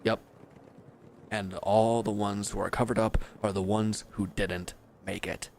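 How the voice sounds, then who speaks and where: A man's voice: on the low side, level tone, unhurried, creaky, a little hiss or hum. A man playing a bitter, wounded villain who is grieving and accusing, speaking with weary sarcasm in a scripted audio roleplay addressed to the listener. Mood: sardonic, accusing.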